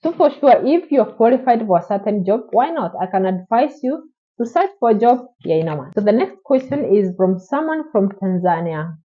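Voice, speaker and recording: A woman's voice: on the high side, sing-song, normal speed, muffled recording. A woman vlogging from Oman, answering viewers' questions in an encouraging, repetitive way and talking straight to the camera. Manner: encouraging, repetitive, direct address. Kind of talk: casual advice vlog.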